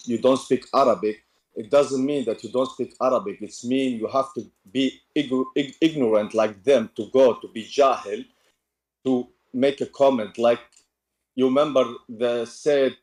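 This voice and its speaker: A male caller on a live debate stream who speaks haltingly, restarts often and drops in Arabic sayings. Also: medium pitch, darkish tone. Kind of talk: casual call-in chat.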